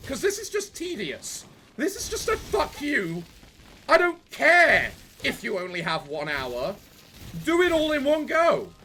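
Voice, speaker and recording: A man doing gaming commentary with a voice strained from yelling. He swears and rants at the game in exasperation. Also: very high-pitched, huge pitch swings, measured pace, a little hiss or hum. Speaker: let's play host.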